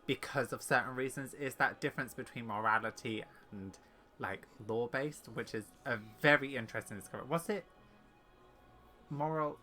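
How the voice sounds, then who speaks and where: A man giving casual, halting commentary on a game as he thinks aloud, with music underneath. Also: medium pitch, sharp tone. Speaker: gaming streamer.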